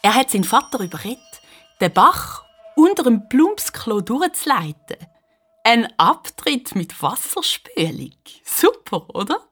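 A woman's voice: on the high side, very animated, measured pace, fairly bright tone, husky, faint music behind, exaggerated voice. A woman narrating a children's radio play with a Swiss German accent, explaining things like an engaging storyteller. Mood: chatty storytelling.

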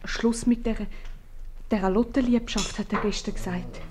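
An actress with a Swiss accent playing a worried but determined young innkeeper's daughter in love in a 1950s radio comedy. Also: female voice, medium pitch, steady tone, normal speed, lightly acted. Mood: worried, loyal. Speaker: actor. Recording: slightly noisy old radio recording, clicks.

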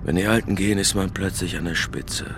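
An actor playing a gruff, suspicious criminal in a radio crime drama, with a gravelly voice and a terse, guarded manner. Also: male voice, deep voice, fairly quick, background noise. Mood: pensive. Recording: music behind the voice.

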